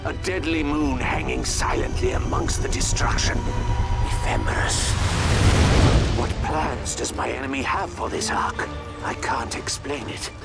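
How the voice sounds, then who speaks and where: A man's voice: mid-range voice, vocal fry. An actor playing an earnest, eccentric scientist who narrates his diary in a video game with grandiose, dramatic precision. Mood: grave foreboding. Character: earnest, theatrical, eccentric male scientist doctor. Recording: loud music behind.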